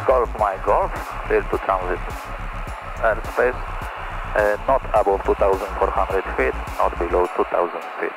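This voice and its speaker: A man's voice: fairly low pitch, steady tone, a little slow, creaky, music in the background, low-quality recording. A man speaking as a pilot, giving readbacks over aviation radio. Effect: aviation radio transmission.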